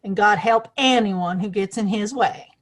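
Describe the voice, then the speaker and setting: A woman's voice: medium pitch, moderate pace, close to an everyday voice. A woman playing a feisty, outspoken small-town woman in a western audio play, with a folksy, plain-spoken manner. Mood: indignant.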